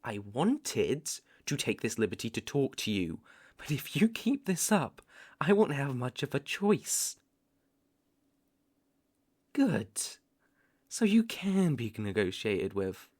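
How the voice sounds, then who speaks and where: A young British man playing a stern, taunting vampire hunter in a roleplay audio drama, speaking deliberately and with cool menace. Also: mid-range voice, sing-song, a little mellow, slightly rough, heavily acted. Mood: irritated, threatening. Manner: slow, deliberate, threatening.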